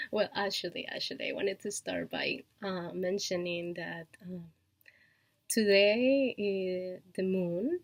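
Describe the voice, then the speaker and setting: Colombian-accented woman vlogger, slow and unhurried, dry close sound, hesitant with fillers and little laughs. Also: lowish voice, creaky, no room sound. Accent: Colombian Spanish. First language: Spanish. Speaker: vlogger and online shop owner. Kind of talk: casual personal vlog.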